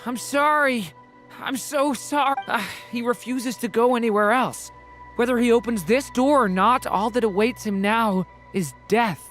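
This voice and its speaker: An actor as a slow-spoken, gentle young hero. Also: medium pitch, faint music behind, lightly acted. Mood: sorrowful. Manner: hesitant, sincere, soft-hearted. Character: gentle, earnest young hero, anxious to help. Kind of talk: dramatic video game voice acting.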